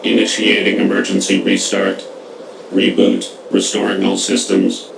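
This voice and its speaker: Gravelly, low, emotionless killer machine. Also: male voice, a little slow, heavily acted. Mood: cold, mechanical. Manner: flat, emotionless system announcements. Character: menacing combat machine rebooting to exterminate targets. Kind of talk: video game cutscene acting. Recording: roomy echo, distant, loud noise behind.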